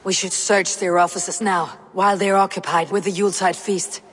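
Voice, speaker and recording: A woman's voice: mid-range voice, level tone, normal speed, fairly bright tone, husky, in a large hall. An actress playing a stern, determined female Viking warrior in a video game, speaking in a terse, commanding way. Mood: thoughtful, focused. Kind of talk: dramatic video game acting.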